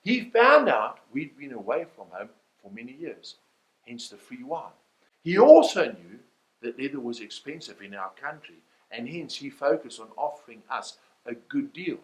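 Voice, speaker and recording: A man with a South African accent gives a motivational talk, telling stories in a theatrical, emphatic way with rhetorical questions. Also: medium pitch. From South Africa, Cape Town. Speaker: motivational keynote speaker. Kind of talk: animated storytelling sales talk. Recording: roomy, echoey sound.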